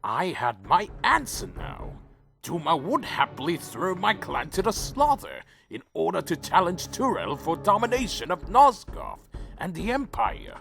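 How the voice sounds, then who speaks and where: Man playing a high, proud vampire leader. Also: wildly swooping pitch, a little slow, gravelly, a bit bright, faint music behind, heavily acted. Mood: betrayed. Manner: dramatic, earnest. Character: proud, indignant winged vampire leader, troubled and defiant. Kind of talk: first-person scripted narration and audio drama acting.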